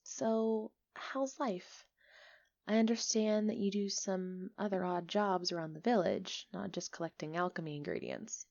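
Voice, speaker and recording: Chatty village alchemist, played by a woman: friendly, expressive, easily flustered, medium pitch, ASMR roleplay delivery, slightly dull recording. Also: a little slow, gravelly. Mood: chatty, playful. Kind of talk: ASMR roleplay acting, one-sided conversation.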